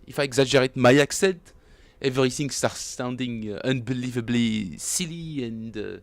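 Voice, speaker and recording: A man with a French accent, chatting casually and self-mockingly with viewers as he streams his bass practice, often chuckling. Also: normal speed, dry recording. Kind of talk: casual livestream chat.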